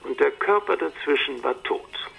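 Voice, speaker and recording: A man playing a matter-of-fact ex-detective in a radio play, with a rough, husky voice. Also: fairly high pitch, animated, moderate pace, a bit bright, heavily acted. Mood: matter-of-fact, intimate. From Netherlands. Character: male Dutch ex-detective reporting by phone, matter-of-fact.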